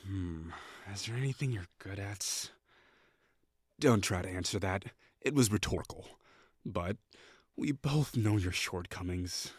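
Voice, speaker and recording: A man's voice: deep voice, measured pace, husky, no room sound, studio quality. A young man voicing a prickly, condescending perfectionist classmate in an audio roleplay, talking in a bossy, sarcastic way. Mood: cold, condescending. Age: young adult. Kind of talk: scripted roleplay acting.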